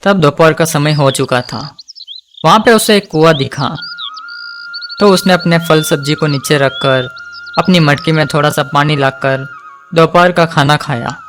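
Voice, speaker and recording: A man's voice: on the high side, a little slow, a bit bright, soft background music. A man narrating a scripted cartoon folk tale for children as storyteller.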